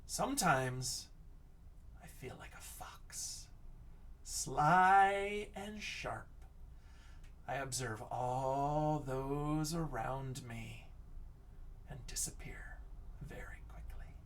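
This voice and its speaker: A man teacher reading a picture book aloud and chatting to children, expressive, often dropping into hushed whispers. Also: mid-range voice, very slow, pauses often, far from the mic.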